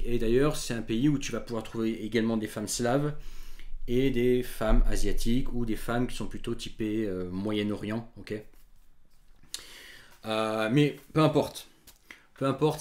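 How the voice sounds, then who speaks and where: A man in his thirties whose first language is not French, talking to camera as a dating coach in a casual monologue, often pausing on fillers and restarting his sentences. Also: lowish voice. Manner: hesitant, many fillers and restarts.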